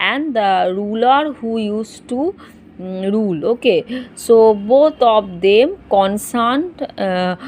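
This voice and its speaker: A woman teacher with a Bengali accent giving a lesson, pausing often with fillers and switching to Bengali to explain. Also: medium pitch, a little mellow. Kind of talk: classroom lecture.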